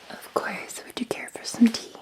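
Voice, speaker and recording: A Scottish woman playing a gentle, attentive Victorian housemaid in an ASMR roleplay, in a soft, breathy, soothing whisper with polite deference. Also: moderate pace, close to an everyday voice. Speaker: ASMR performer.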